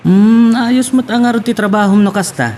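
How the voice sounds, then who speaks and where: A man's voice: high-pitched, normal speed, darkish tone, faint music behind, close to an everyday voice. A man with an Ilocano accent playing a teasing male buddy in an audio drama. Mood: curious. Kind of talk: Ilocano radio-style drama acting. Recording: faint background noise.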